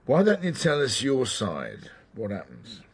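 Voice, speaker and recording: An actor playing a middle-aged senior male police detective in a radio drama, questioning in a polite, dry, measured way. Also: mid-range voice, wildly swooping pitch, husky, full character voice. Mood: measured, probing. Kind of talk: naturalistic radio drama acting.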